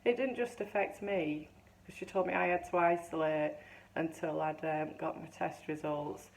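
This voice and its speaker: A woman in her fifties with a low, gravelly voice that is still a touch hoarse. She talks in a chatty, rambling way, often restarting her sentences as she tells her story. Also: steady tone, normal speed, low-quality recording. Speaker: vlogger. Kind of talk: casual chatty vlog.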